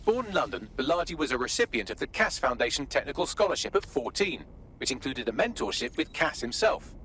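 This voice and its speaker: A man playing a wry, sardonic intelligence briefer in a video game cutscene, articulate and sharp. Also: a little high, big pitch swings, a little fast, slight background noise. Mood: brisk, informative.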